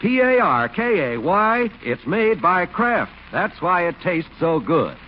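A man's voice: sits high, sing-song, slow, over loud music. A man announcing and narrating from a script in polished, emphatic 1940s radio style. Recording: muffled 1940s radio recording with hiss.

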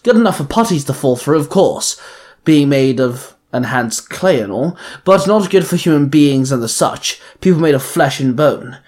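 A man's voice: on the high side, expressive, normal speed, a little mellow, close to an everyday voice. A man voicing Mister Pocket, a commanding male boss of a mutant crew who speaks in firm, rallying speeches. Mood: urgent.

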